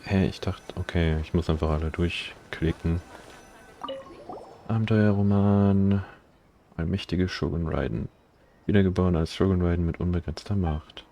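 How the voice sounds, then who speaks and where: Low, mellow male game streamer. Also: steady tone, moderate pace, a little hiss or hum. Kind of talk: reading game dialogue aloud on a livestream.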